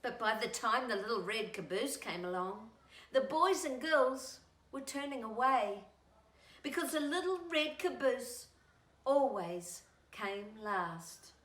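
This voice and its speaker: Animated, high-pitched woman reading to children. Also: slow pace, roomy sound. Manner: animated, singsong, addressing young children. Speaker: storytime host and children's author.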